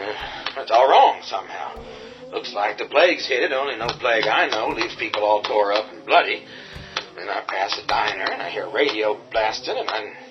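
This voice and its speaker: Actor as a commanding survivor, lively pitch, lo-fi sound with music behind. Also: male voice, lightly acted. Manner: urgent, commanding, swings to shouting. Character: tough man barricading a farmhouse against the undead. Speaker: lead character. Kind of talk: radio drama acting.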